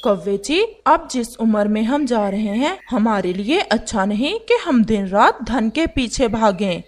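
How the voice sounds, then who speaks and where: A woman voicing a wise, gentle old male pigeon who warns against chasing wealth. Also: a little high, animated, measured pace. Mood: calm. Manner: gentle, quietly warning.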